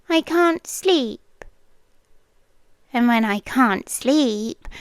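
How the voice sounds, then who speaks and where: A woman playing a possessive, devoted yandere girlfriend with a British accent, speaking softly and deliberately in an intimate ASMR roleplay, often slipping into whispers. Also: high voice, dry recording, studio quality, full character voice. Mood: anxious, possessive. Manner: slow, deliberate, lingering pauses. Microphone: close microphone, dry sound.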